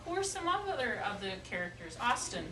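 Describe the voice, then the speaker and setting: Female primary teacher, patient question-and-answer delivery, raised pitch, roomy distant classroom sound. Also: sing-song, fairly quick. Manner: patient, repeats pupils' answers back. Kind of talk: classroom lesson, questioning young children. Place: classroom with children. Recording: echoey classroom, distant mic, noisy.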